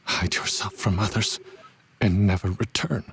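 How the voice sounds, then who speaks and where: A man acts out an aging owl mentor in a fantasy game drama, stern and weighed down by remorse. The voice sits somewhat low and is extremely husky and rough. The words come out formal, grave and deliberate. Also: steady tone, a bit bright, exaggerated voice. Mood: grave, resigned. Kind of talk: dramatic game fandub acting.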